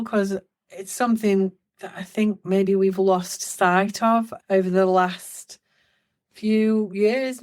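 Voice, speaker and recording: Slow, smooth-voiced woman podcast host. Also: mid-range voice. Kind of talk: podcast interview.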